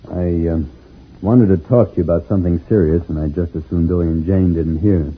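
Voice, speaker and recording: An actor playing a calm, protective space pilot from another planet, reassuring and explanatory in a 1950s radio serial style. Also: male voice, low voice, brisk, close to an everyday voice. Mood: grave, confiding. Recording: tinny, noisy old radio recording.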